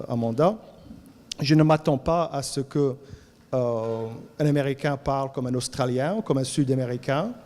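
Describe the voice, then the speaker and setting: A man with a Cajun French accent from Louisiana gives an animated talk at a colloquium, often repeating words as he searches for the next one. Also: medium pitch, in a large hall. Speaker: colloquium speaker. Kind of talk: lively talk to an audience. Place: lecture hall.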